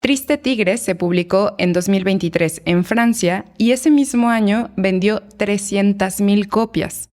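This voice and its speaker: A woman narrating a documentary podcast in a measured, scripted storytelling voice. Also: medium pitch, a little mellow.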